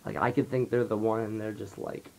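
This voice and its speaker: A teenage man talking softly and rambling through a confessional vlog, his voice raspy from a dry throat. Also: fairly low pitch, fairly even intonation, a little fast, slight background noise, rough recording. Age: late teens. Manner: soft-spoken, rambling, heavy on fillers. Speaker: vlogger. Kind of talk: confessional casual monologue.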